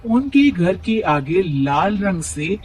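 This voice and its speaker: Slow male storyteller, slightly high voice. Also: very animated, a little mellow, slightly rough, rough recording. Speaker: narrator. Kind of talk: scripted story narration.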